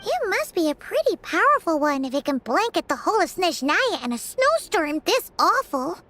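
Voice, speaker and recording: A woman's voice: very high voice, very animated, normal speed, fairly bright tone, no echo, heavily acted. A woman voicing a small, chirpy, talkative fairy companion with a childlike manner in a video game. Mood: pondering. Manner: refers to herself by name in third person.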